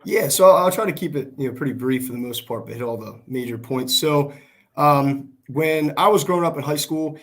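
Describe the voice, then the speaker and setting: A young American man speaks as a guest in a casual conversation. His voice is hoarse, worn from shouting, and sits at a middle pitch. He talks informally. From Pennsylvania, United States. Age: 20s. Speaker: guest, small business worker. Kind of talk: casual chat. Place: video call.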